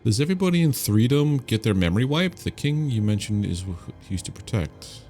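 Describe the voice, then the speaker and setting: A man's voice: medium pitch, lively intonation, brisk, mellow, soft background music. A man hosting a casual let's-play, offhand and wry as he comments and reads out dialogue choices. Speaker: gaming video host.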